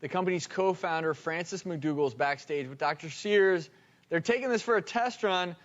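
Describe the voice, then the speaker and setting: A man's voice: high-pitched, normal speed, highs cut off. A man presenting an upbeat TV talk show as its host. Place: TV studio stage.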